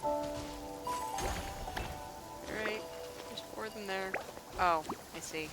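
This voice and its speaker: A woman's voice: mid-range voice, moderate pace. A woman livestreaming a video game with casual, wry, rambling commentary, mostly talking to herself. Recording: loud game music behind. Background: loud game music.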